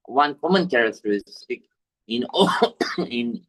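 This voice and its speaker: A man with a Sri Lankan accent, a Buddhist monk teaching meditation to an online group in a halting, deliberate way. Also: mid-range voice, expressive, a bit bright, poor audio. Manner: halting, deliberate, repetitive phrasing. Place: village temple in Sri Lanka, over video call.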